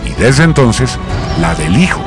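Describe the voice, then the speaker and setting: A man's voice: mid-range voice, very animated, measured pace. A man narrating a radio drama from a script in a solemn, declamatory, dramatic way. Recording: loud music bed under the narration.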